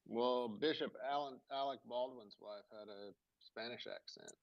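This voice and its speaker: A man in an online audio chat, making quick joking asides. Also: mid-range voice, dry recording, dull audio.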